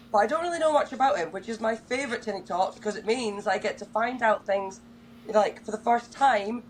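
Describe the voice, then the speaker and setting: A woman's voice: fairly high pitch, a little hiss or hum. A woman hosting a casual podcast, bubbly and enthusiastic, casually swearing as she chats. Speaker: podcast host.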